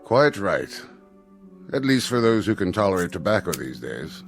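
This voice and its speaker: An actor playing a formal, awkwardly tactful school headmaster in a radio drama, with a halting, stiffly polite delivery. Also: male voice, low voice, sing-song, vocal fry, soft background music, exaggerated voice.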